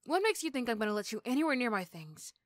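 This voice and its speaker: A woman's voice: a little high, big pitch swings, fast talker, dry recording. A woman playing a mean but grudgingly sympathetic supervillain in an ASMR roleplay, with a dry, teasing, condescending manner. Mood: irritated, condescending. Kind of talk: ASMR roleplay character acting.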